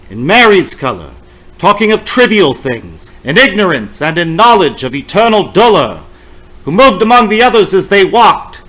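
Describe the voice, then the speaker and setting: A man reads a long poem aloud in a dramatic style, fairly high in pitch for a man. His delivery is slow, solemn and incantatory, leaning on the repeated phrases. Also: a bit bright, poor audio. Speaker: poetry reader. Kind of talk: dramatic poetry reading.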